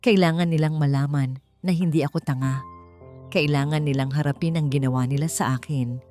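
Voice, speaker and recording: A woman's voice: deep voice, fairly even intonation, a little fast, dark tone, natural voice. A woman with a Filipino accent voicing a betrayed, resolute young wife in an audio story, firm and emphatic. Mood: hard, defiant. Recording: music bed under the voice.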